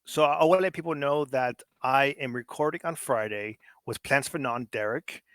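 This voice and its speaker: A man's voice: medium pitch, normal speed, fairly bright tone, no echo. A man born in Mexico whose first language is Spanish, with a Los Angeles Mexican American accent, talking politics on a podcast in a blunt, profane, heated way with many restarts. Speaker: podcast guest. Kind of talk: casual political discussion.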